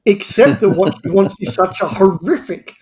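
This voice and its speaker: A man's voice: sits high, lively intonation, moderate pace, darkish tone, slight background noise. A man discussing audio drama on a podcast, speaking emphatically and repeating words as he builds his argument. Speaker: podcast panelist.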